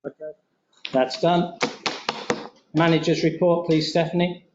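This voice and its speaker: A man chairing a homeowners board meeting, running through the agenda in a brisk, procedural way. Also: on the high side, level tone. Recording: dull meeting room audio, faint noise.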